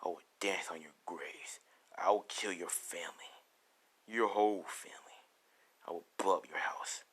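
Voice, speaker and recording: A man voicing a ruthless, vengeful, foul-mouthed young anime student in low, breathy, hissed threats. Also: fairly even intonation, normal speed, a bit bright. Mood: cold menace. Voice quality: breathy, half-whispered.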